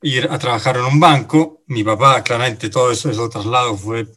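Man, native Italian speaker, thirties, interview guest, halting, self-correcting, filler sounds. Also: mid-range voice, steady tone, pushed and bright. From Italy. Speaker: interview guest, finance director. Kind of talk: casual interview talk.

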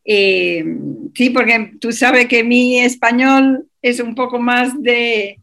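A woman speaks slowly as a radio guest. She hesitates often, filling gaps and restarting her sentences. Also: fairly high pitch, fairly even intonation, fairly bright tone. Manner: hesitant, many fillers and restarts. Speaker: interview guest. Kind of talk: radio interview.

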